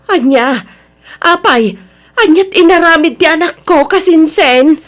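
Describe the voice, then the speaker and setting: A woman's voice: very high-pitched, unhurried. A woman playing a worried, scolding middle-aged mother in a radio drama, reproachful but caring. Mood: puzzled, worried. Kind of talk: radio drama acting in Ilocano.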